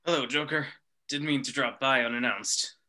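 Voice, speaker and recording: A man's voice: mid-range voice, moderate pace, pushed and bright. A man playing a nervous, meek old mobster in a cartoon film table read, hesitant and apologetic.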